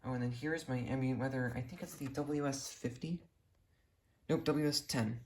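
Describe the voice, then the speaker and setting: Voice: mid-range voice, steady tone, normal speed, a little hiss or hum. A hobbyist giving a casual, unscripted show-and-tell indoors from behind a handheld camera, rambling and correcting themselves along the way. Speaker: hobbyist video creator. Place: indoors in a room at home. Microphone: handheld camera, speaker behind it.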